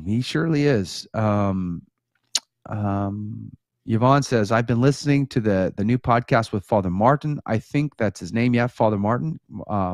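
A man's voice: medium pitch, no echo, clean studio sound. A middle-aged man with an American accent chatting casually as a live talk show host, conversational and rambling into tangents. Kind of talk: casual chat with viewers, personal storytelling. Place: small home studio with bookshelves, indoors. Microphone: close studio microphone on boom arm.

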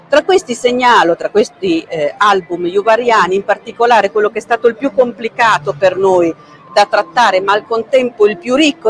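A middle-aged woman, a curator presenting a book at a public talk, speaking in a measured way with frequent hesitant pauses, recorded by a camera at some distance in a large book fair hall. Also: medium pitch. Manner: measured, frequent "eh" pauses, gestures while talking.

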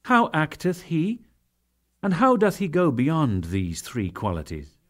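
A man's voice: a little high, huge pitch swings, takes it slowly, a bit bright, pitched above the performer's own voice, heavily acted. A man voicing an earnest, reverent disciple who questions his lord formally, in a dramatic scripture reading. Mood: earnest inquiry.

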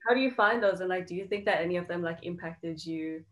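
A woman's voice: on the low side, quick pace. A woman hosting a casual interview over a video call, asking questions with many filler words. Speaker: podcast host.

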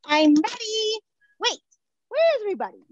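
A woman's voice: very high-pitched, very animated, normal speed. A woman in headphones playing a confused, self-absorbed young singer-songwriter with a dramatic, whiny manner, on a webcam by a brick wall. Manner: dramatic, whiny, breaks into wailing. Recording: dull lo-fi webcam sound.

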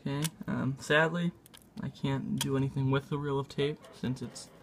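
A man narrating a casual hands-on tutorial in a halting, unscripted way, thinking aloud as he works. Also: mid-range voice, steady tone, a little hiss or hum. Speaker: video maker demonstrating a repair. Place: indoors at a tabletop.

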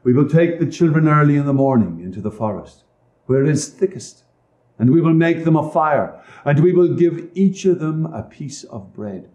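A man's voice: fairly high pitch, lively intonation, moderate pace, warm tone, reverberant, dull audio, pitched above the performer's own voice, close to an everyday voice. A man voicing a harsh, scheming stepmother in a storybook reading, scolding and commanding.

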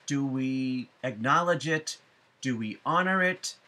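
A middle-aged man giving a spiritual forecast to viewers, speaking deliberately with emphatic phrasing and frequent pauses. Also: mid-range voice, lively intonation, sharp tone. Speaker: astrology forecaster and psychic medium. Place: small room at home, indoors.

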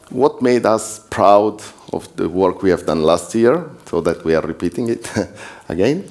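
A man's voice: deep voice, darkish tone. A man from southern Switzerland with an Italian accent, presenting at a developer conference as one of its organizers, in English with some slips and restarts. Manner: non-native English with restarts, slips and laughs. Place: conference hall.

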